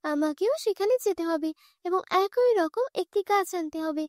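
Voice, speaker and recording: A woman's voice: extremely high pitch, expressive, normal speed, darkish tone, no echo, clean studio sound. A woman voicing a jealous, greedy young flower seller in a cartoon fairy tale, grasping and indignant. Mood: jealous, scheming.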